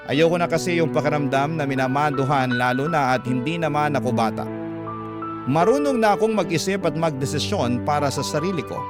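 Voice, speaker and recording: A man narrating a listener's letter in the first person in a classic radio style, earnest and measured. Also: on the high side. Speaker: storyteller reading a listener's letter. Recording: loud background music.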